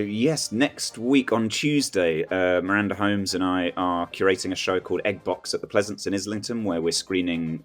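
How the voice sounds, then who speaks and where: A man, a comedian, chatting casually as a guest on a podcast. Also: sits low. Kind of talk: casual chat.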